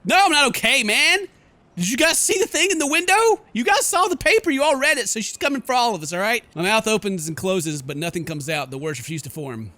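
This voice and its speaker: High-pitched, animated male gaming streamer. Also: brisk, no echo. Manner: theatrical, excitable, jokey. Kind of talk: horror game playthrough, dramatic read-aloud and casual commentary. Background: game music.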